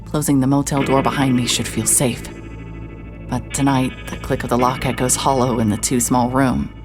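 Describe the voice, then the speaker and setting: A woman playing a very deep-voiced sardonic investigator. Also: steady tone, normal speed, music in the background. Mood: wry. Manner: wry, dry, self-mocking delivery. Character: hard-hearted, sardonic woman private investigator. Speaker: private investigator. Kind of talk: first-person scripted narration.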